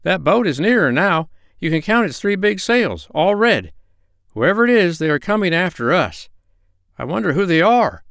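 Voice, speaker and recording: A man's voice: sits high, very animated, normal speed, creaky, fairly bright tone, no echo, clean studio sound, voice pushed up, heavily acted. A man voicing a wary, anxious, matter-of-fact duck in a children's storybook. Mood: alarmed.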